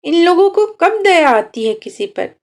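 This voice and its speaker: Storybook role: downtrodden, defiant village woman played by a female narrator, high-pitched, bitterly questioning, slow-paced. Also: sing-song, full character voice. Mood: bitter indignation. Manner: indignant rhetorical questioning.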